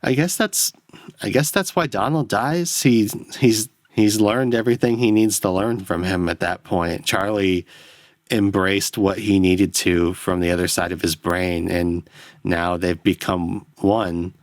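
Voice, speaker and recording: A man's voice: low-pitched, steady tone, measured pace, no echo. A man hosting a solo film podcast, rambling and self-deprecating, swearing as he analyses a movie into a close microphone. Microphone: close studio microphone.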